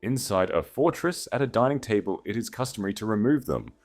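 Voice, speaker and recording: Male narrator as a grim exiled nobleman, deep, formal, guarded, expressive pitch, storybook romance. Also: fairly quick, soft background music, lightly acted. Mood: stern, probing. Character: grave, guarded exiled duke, a scarred ruined man. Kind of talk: audiobook character dialogue.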